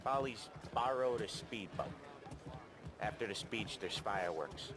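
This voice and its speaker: An actor playing a confident, streetwise mob associate in a crime game, casual and slangy. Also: male voice, lowish voice, animated, measured pace. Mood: reassuring. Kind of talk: cinematic crime game acting. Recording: noisy outdoor ambience behind.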